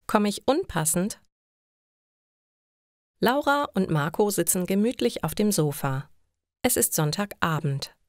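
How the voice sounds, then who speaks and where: A woman's voice: medium pitch, expressive, a little slow, pauses often, dry recording, clean studio sound. A woman narrating scripted short stories for language learners.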